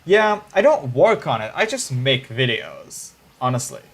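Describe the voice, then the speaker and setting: A man's voice: medium pitch, very animated, a little slow, vocal fry. A man, chatting casually on a livestream in a musing, hesitant way with frequent restarts. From Israel.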